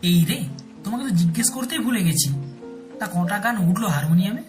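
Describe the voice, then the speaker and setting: A man playing a devoted Bengali husband in a romantic audio story, teasing and gently romantic. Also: high-pitched, animated, a little fast. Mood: affectionate. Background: soft background music.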